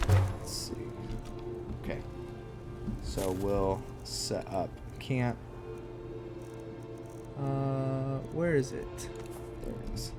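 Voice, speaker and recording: A man's voice: mid-range voice, very slow, pauses often. A man giving casual let's play commentary, thinking aloud in short, musing phrases. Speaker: gamer narrating own roleplay playthrough. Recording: loud music behind the voice.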